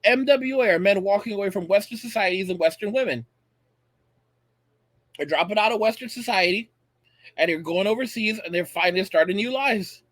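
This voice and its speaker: A man's voice: sits high, a little fast, fairly bright tone, no room sound, low-quality recording. An American man in his late thirties hosting an opinionated online commentary, speaking emphatically and repeating phrases for effect, addressing his viewers directly. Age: late 30s. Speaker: online video channel host.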